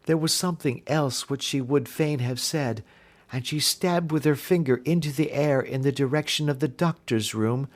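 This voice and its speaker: A man reading classic detective fiction aloud as an audiobook narrator, in scripted storytelling style. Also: on the high side.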